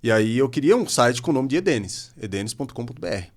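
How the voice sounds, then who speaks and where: A man's voice: medium pitch, very animated, fast talker. Brazilian policeman with a Curitiba accent. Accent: Paraná (Curitiba). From Minas Gerais, Brazil. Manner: storytelling, conversational, self-deprecating humor. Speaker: civil police officer, guest. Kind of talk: casual podcast chat.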